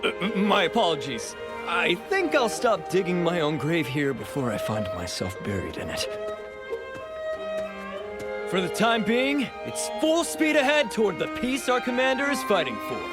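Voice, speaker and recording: An actor playing an eager, nervous young soldier in a video game, talking in an earnest, effusive and polite way. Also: male voice, high voice, very animated, normal speed, loud music behind the voice. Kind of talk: dramatic video game acting.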